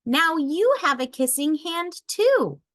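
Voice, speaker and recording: A woman's voice: high-pitched, very animated, slow, fairly bright tone, voice pushed up, heavily acted. A woman voicing a little boy raccoon, an anxious, affectionate child with a plaintive way of talking, in a storybook reading. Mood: happy, loving.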